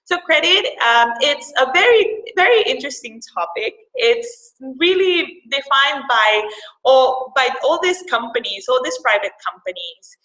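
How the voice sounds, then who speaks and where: A young woman from El Salvador explains credit in a webinar, her voice a little higher than most and very bright. She talks conversationally, repeating words and leaning on fillers. The sound carries a clear echo of the room. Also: lively intonation, unhurried. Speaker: financial counselor presenting a webinar. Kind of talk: webinar presentation.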